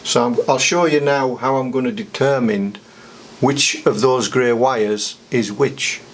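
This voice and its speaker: A man's voice: medium pitch, fairly even intonation, measured pace, slight background noise. A man giving a casual how-to demonstration, explaining each step in a matter-of-fact way. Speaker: DIY demonstrator.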